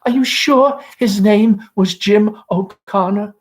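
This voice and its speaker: A man's voice: high voice, slow pace, voice pushed up. A man voicing a painfully shy, anxious young daughter in a stage play, breathless and pleading.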